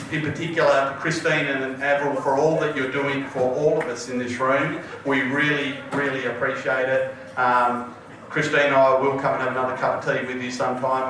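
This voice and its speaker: A man hosting a live panel, jovial and loud, often restarting his sentences. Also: medium pitch. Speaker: panel host. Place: large event hall with audience. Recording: echoey hall, distant mic, crowd noise.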